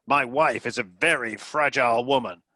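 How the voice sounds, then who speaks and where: An actor playing a blustering, sarcastic, bullying rich American husband in a comedy radio play. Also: male voice, mid-range voice, bright, forceful tone, dry recording. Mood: irritated. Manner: brash, mocking, quick to threaten.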